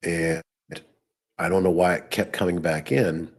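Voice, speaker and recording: A deep, husky male voice commenting live on stock charts and trades. He is hoarse, as if losing his voice, and talks things through out loud while clicking around his screen. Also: fairly even intonation, unhurried. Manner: thinking aloud while working on screen. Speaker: trading show host.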